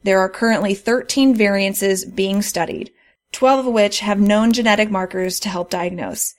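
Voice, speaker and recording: A woman's voice: mid-range voice, fairly even intonation, moderate pace. Earnest woman hosting a podcast. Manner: earnest, reads terms carefully, laughs at her own slips. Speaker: podcast host. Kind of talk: reading prepared explanations.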